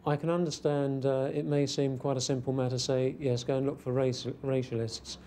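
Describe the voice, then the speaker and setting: A man's voice: mid-range voice, flat delivery, fairly quick, mellow. A man speaking as a police detective, explaining procedure in a news interview.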